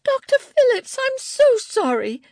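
A woman's voice: on the high side, sing-song, normal speed, a little mellow, no echo, voice pushed up. A woman narrator voicing an earnest, peacemaking woman who knits, in an audiobook. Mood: flustered, apologetic. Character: earnest woman knitter, apologetic peacemaking friend.

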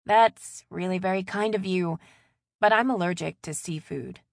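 Polite young woman as a narrator plays her, reserved, low gravelly tone, dry sound. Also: moderate pace, no room sound. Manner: polite, hesitant.

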